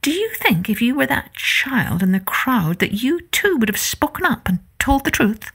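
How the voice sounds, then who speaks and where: Expressive woman telling a children's story. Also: fairly high pitch, normal speed, husky. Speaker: storytelling podcast host.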